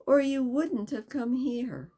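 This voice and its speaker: A woman voicing a sly, riddling cat, speaking softly with a teasing drawl in a storybook reading. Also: high-pitched, takes it slowly, pitched above the performer's own voice. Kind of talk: storybook read-aloud.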